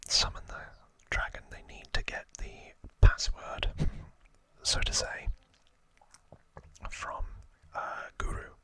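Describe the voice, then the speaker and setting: Slow, whispering male ASMR host. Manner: hushed, unhurried, informal explaining. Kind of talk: whispered ASMR trivia narration.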